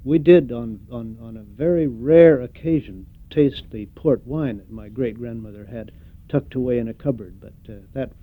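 An older man giving an oral history interview, reflective and hesitant, pausing often with fillers. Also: medium pitch. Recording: tinny phone-like recording.

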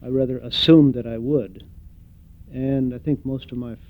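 An older man giving an oral history interview, reflective and hesitant, pausing often with fillers. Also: mid-range voice, fairly even intonation. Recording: tinny phone-like recording.

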